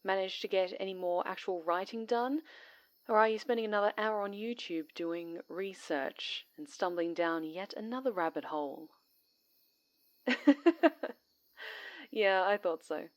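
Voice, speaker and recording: A woman playing a young female character in an ASMR roleplay audio drama, with an expressive, dramatic delivery. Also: mid-range voice, measured pace, pauses often, natural voice. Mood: casual, teasing. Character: friendly young woman camper turned panicked, secretly sinister stalker.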